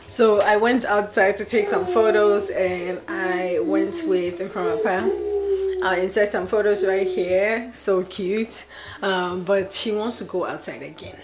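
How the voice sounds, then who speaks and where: A woman in her twenties chatting casually in her kitchen for a home vlog, chatty and excitable with frequent laughs. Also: medium pitch, takes it slowly. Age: 20s. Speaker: vlogger.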